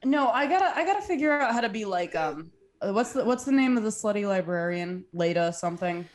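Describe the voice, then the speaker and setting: A woman's voice: mid-range voice, lively intonation, fairly quick, a little hiss or hum. A woman in her twenties chatting casually on a podcast, swearing freely and trailing off with "like" and "um". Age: 20s. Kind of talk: casual chat.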